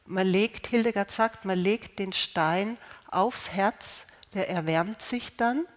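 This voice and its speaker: A woman with a slight cold calmly explaining how to use healing stones, unhurried, with fillers and restarts. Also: mid-range voice. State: slight cold, clearing throat. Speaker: gemstone healing presenter.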